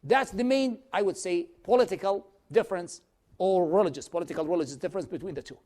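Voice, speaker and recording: A man from Iraq with a high voice teaches a church class. He explains step by step and answers questions from the room directly. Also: quick pace, a little mellow. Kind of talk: lecture with audience questions.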